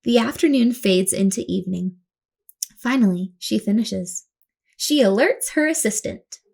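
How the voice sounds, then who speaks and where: A woman storyteller reading a picture book aloud to children in an expressive, dramatic way, acting out the words with her voice. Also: mid-range voice, a little slow, creaky, husky.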